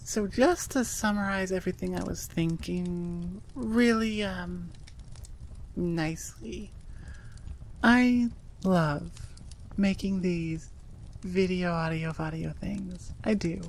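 Earnest woman with an unhurried, rambling delivery. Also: on the low side, slight background noise. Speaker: audio roleplay creator. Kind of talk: casual, personal outro to listeners.

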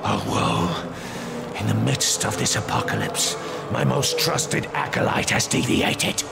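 Gravelly, pompous fire-cult priest. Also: male voice, lowish voice, lively intonation, slow pace, heavily acted. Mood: woeful, aggrieved. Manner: grandiose, preachy, theatrical. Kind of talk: theatrical video game acting. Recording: loud music under the voice.

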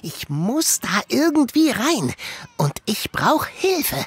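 A woman playing a worried but determined young boy in a children's audio drama, with a husky voice. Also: on the high side, sing-song, slow, full character voice. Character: young boy Sammy, worried, determined to save his friends.

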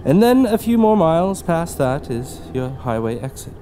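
A man's voice: a little high, very animated, normal speed, warm tone, a little hiss or hum. A man playing eerie polite hitchhiker Tim. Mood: cheerful, polite. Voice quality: overly polite, odd and cheerful. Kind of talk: audio drama acting.

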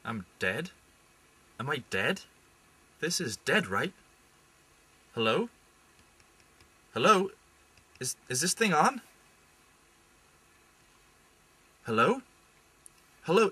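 A man's voice: fairly high pitch, pushed and bright, slightly rough, exaggerated voice. A young man playing a freshly dead actor with an Irish accent, earnest and easily flustered. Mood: confused. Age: young adult. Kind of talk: student radio drama acting. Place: large private house.

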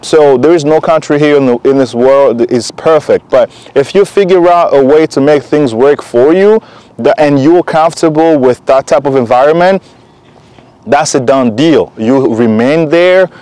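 A man's voice: fairly high pitch, normal speed, a little mellow, no room sound, rough recording. A young man from Congo Kinshasa talking in a casual vlog, earnest and encouraging, with loose rambling sentences. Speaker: vlogger. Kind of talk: casual motivational vlog talk.

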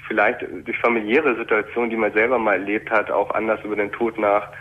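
A man's voice: on the low side, little pitch movement, fast talker. A man calling in to a radio show, talking thoughtfully and hesitantly about his work as an emergency doctor, with many fillers. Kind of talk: phone-in conversation. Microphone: telephone line. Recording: dull lo-fi phone line with faint noise.

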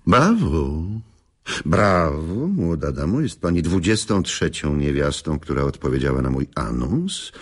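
Very deep, gravelly courtly schemer. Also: male voice, big pitch swings, heavily acted. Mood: pleased, intriguing. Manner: theatrical, old-fashioned courtly phrasing with teasing pauses. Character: courtly, scheming older man recruiting a partner for a scheme. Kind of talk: radio drama acting.

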